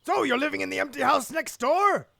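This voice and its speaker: A woman playing an excitable, needy, melodramatic cartoon character in a comedy audio drama, shouty and overexcited. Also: high voice, fairly quick, gravelly, no echo, clean studio sound, heavily acted. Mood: gushing, thrilled.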